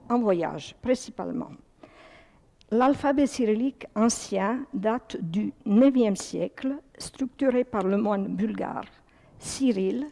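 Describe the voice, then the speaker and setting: A woman's voice: medium pitch, creaky, dark tone. An older woman with a Serbo-Croatian accent, carefully reading a public talk as a presenter. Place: public hall.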